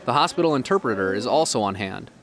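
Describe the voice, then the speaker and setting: A man's voice: mid-range voice, expressive, fast, fairly bright tone, a little hiss or hum. Man narrating a local TV feature. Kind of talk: scripted narration.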